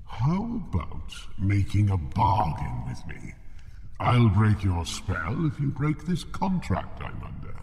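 Fire demon, sly, wry, very lively intonation, long reverb, radio drama. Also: male voice, on the low side, slow, heavily acted. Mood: sly, bargaining. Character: sly bargaining male fire demon bound to a hearth.

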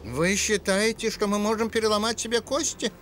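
A man voicing an anxious, loyal animal servant in a cartoon, fretful and deferential toward his gentleman. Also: sits high, fairly even intonation, fairly quick, slight background noise. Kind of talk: dubbed cartoon acting.

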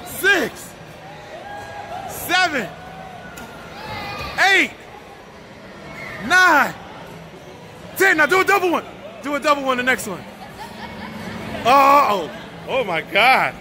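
A woman chatting casually and encouraging kids excitedly as she films a family outing on a phone. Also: sits high, slow pace, pauses often, faint music behind. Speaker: vlogger filming a family outing. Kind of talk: casual chat and cheering. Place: large busy indoor shopping mall. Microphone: handheld phone, behind the camera. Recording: noisy crowded indoor mall, phone audio. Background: crowd chatter and ride noise.

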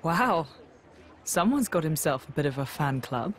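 A man voicing a gentle, earnest young swordsman in a video game cutscene, mild and lightly teasing. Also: a little high, very animated, measured pace. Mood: mildly impressed.

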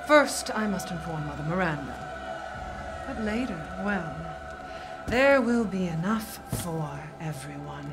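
A woman's voice: on the low side, lively intonation, slow. An actress voicing a haughty, imperious aristocratic vampire mother in a video game, with a condescending drawl. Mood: gloating, silky. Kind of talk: theatrical video game cutscene acting.

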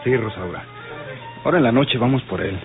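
An actor in an old radio drama playing a loyal, cautious outlaw accomplice who consoles and advises. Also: male voice, medium pitch, lively intonation, a little fast, close to an everyday voice. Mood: cautious concern. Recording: tinny old radio recording with music.

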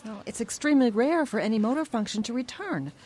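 An actress as a high-voiced, lively mother. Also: quick pace, soft background music, close to an everyday voice. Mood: concerned. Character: concerned, encouraging mother of an adult daughter. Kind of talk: radio drama acting.

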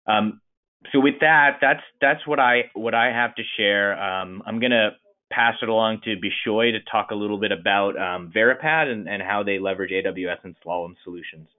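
Low-voiced man over a phone line. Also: normal speed. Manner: hesitant, heavy on fillers. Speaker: consultant presenting. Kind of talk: webinar presentation. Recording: phone-line webinar audio.

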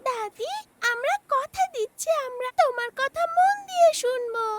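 A woman's voice: extremely high pitch, animated, moderate pace, very noisy. A woman voicing an eager little cartoon girl with a childlike, pleading manner. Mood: caring. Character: eager little girl helping her grandmother.

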